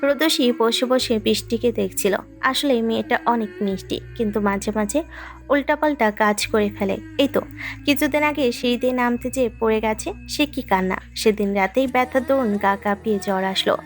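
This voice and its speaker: A woman's voice: a little high, normal speed. A woman reading a scripted romantic story as its narrator. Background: background music.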